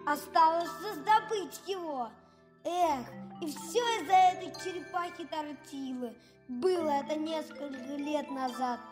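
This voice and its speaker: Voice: sits high, unhurried, music in the background. A child acting a scheming villain who muses to himself in an amateur audio play. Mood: brooding. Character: scheming villain musing over a secret key.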